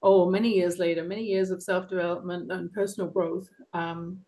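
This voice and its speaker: Woman, London accent, middle-aged, earnest, passionate, soft laughs. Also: lowish voice, level tone, fairly quick. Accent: London English. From England. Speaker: author and guest. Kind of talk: reflective personal interview.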